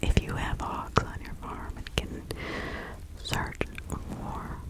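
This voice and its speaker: Woman playing a slow, whispering village witch. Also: close to an everyday voice. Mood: caring, instructive. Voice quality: breathy, fully whispered. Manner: gentle, unhurried, instructing. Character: kindly 1600s village witch healer, female, giving counsel. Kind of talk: ASMR roleplay.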